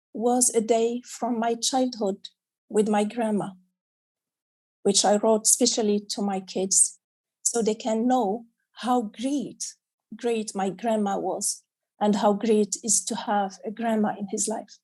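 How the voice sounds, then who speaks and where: A woman's voice: on the high side, steady tone, slow pace, dark tone. A middle-aged woman from Morocco, a children's author whose first language is Arabic, answering interview questions over a video call in a hesitant, word-searching way.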